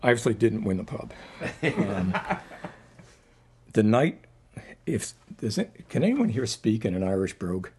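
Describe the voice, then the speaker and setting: A man speaking informally as a poet at a live reading, rambling and self-deprecating, with a husky voice. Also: on the low side, sing-song, unhurried, pauses often, background noise. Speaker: poet introducing and reading own poem. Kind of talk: informal live poetry reading introduction with anecdotes.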